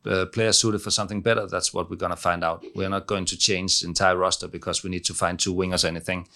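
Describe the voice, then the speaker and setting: A middle-aged man with a Danish accent, a head coach answering press questions, halting with many fillers and restarts. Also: sits low, bright, forceful tone. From Denmark.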